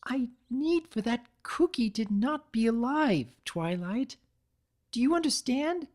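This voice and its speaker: Hyper young mare for a cartoon story: high-pitched, emphatic, high-strung. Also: female voice, expressive, slow pace, a little mellow. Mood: desperate pleading. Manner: emphatic, insistent, strained cheer. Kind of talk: dramatic fan-fiction reading.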